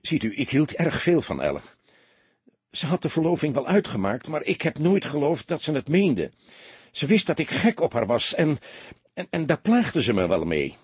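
A man's voice: on the high side, sing-song, normal speed, darkish tone, breathy edge, no echo, pitched above the performer's own voice, full character voice. A man voicing an anxious, earnest young soldier who speaks hesitantly and repeats words in an audiobook story. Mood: earnest explaining.

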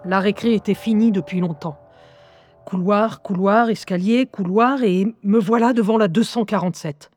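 A wry, expressive schoolgirl, played by a woman, tells her own story in an audio drama. The voice is medium-pitched and confiding, recorded very dry and close. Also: normal speed, dry recording, faint music behind, close to an everyday voice. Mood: confiding narration. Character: lively eleven-year-old schoolgirl recounting life with inner voices. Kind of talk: first-person audio drama narration.

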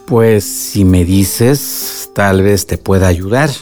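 An actor playing a wise, loving elderly village grandfather in a radio drama, with a Mexican accent and a measured, reflective way of advising. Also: deep voice, a little mellow, faint music behind. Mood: gentle, curious. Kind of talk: Spanish-language radio drama acting.

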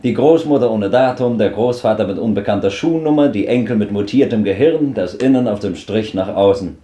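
An actor playing a sharp young man who needles the old men sardonically, in a 1950s radio drama. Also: fairly low pitch, fairly quick, echoey room, natural voice. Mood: mocking.

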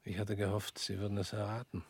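A husky, brooding ex-official. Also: male voice, on the low side, level tone, darkish tone, dry recording. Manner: measured, brooding, often sinking to a whisper. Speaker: radio drama actor. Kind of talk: radio play acting.

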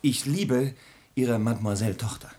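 An actor playing a gallant, impatient young gentleman from the capital in a period comedy radio play, urbane and ardent. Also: mid-range voice, moderate pace, lightly acted.

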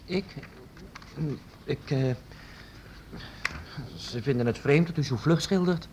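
An actor playing a loyal, earnest young apprentice in a radio play, stammering at times. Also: male voice, fairly high pitch, takes it slowly, noisy background. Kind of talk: radio drama acting.

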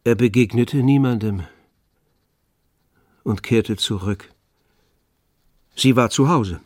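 A man narrating a literary radio play from a script in a measured, solemn manner. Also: medium pitch, dry recording, studio quality.

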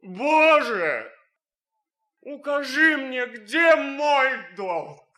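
An actor playing an anguished, idealistic soldier torn by conscience in a radio drama, theatrical and swinging from hushed to fervent. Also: male voice, very high voice, big pitch swings, extremely slow, exaggerated voice. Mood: anguished, pleading. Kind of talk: radio drama acting, impassioned monologue.

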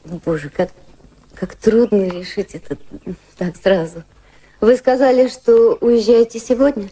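An actress playing a poised, gently teasing widow of about thirty in a Russian radio drama, speaking in a measured, reflective way. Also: female voice, medium pitch, fairly even intonation, breathy edge. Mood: coy, hesitant. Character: poised thirty-year-old widow of English high society, gently teasing. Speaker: actress and narrator in radio play.

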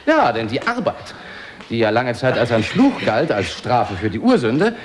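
A man's voice: mid-range voice, huge pitch swings, normal speed. A man playing a talkative, provocative studio director in a radio drama, mocking and emphatic in a conversational way. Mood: mocking, lecturing. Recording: very noisy recording.

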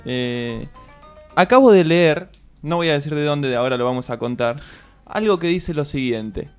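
A man co-hosting a radio show, chatting in a lively way and reading aloud. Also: a little high, normal speed, smooth, faint music behind. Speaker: radio co-host. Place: radio studio.

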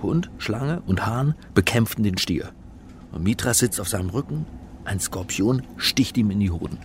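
An actor playing an earnest, uneasy middle-aged academic in a radio drama, speaking in a measured way as narrator and in dialogue. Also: male voice, on the low side, animated, a little hiss or hum. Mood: earnest, explaining. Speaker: actor and first-person narrator.

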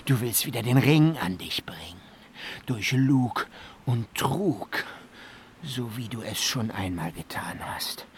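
An actor playing a bitter, scheming dwarf villain in a fantasy radio play, breathy and hissing, often dropping into whispers. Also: male voice, medium pitch, lively intonation. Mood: hostile, suspicious. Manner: gloating, theatrical, drawn-out menace.

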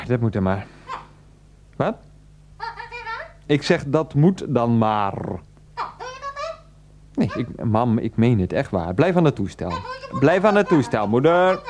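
An actor playing an exasperated middle-aged husband and son in a radio play, flustered, repetitive and placating. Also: male voice, mid-range voice, big pitch swings, slow, long pauses, noisy background. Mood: strained, placating.

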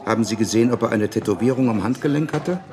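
An actor playing a wary, inquisitive bar landlord in a German radio play, asking short, dry questions. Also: male voice, mid-range voice, fast talker, lightly acted. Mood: suspicious.

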